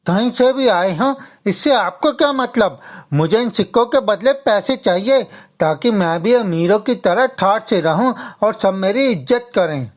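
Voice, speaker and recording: A poor, scorned young villager from a storybook tale, voiced by a woman. He is a man who speaks slowly and with earnest feeling, longing for respect. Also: medium pitch, animated, pitched above the performer's own voice, lightly acted. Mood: defiant. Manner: earnest, emotional. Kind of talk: dramatized children's story dialogue.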